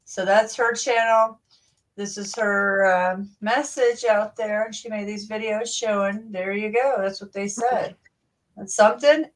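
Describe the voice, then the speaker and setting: A woman hosts a casual livestream, chatting loosely and circling back over her own words. She sits some way off the mic, so her voice sounds distant and thin. Her pitch sits in an ordinary middle range. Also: steady tone, measured pace. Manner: chatty, scattered, repeats herself. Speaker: livestream host.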